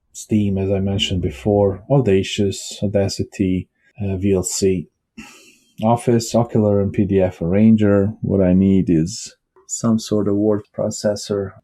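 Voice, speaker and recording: Man, Bosnian native speaker, low mellow voice, unhurried rambling vlog delivery with fillers. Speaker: vlogger. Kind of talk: casual screen-recorded desktop talk.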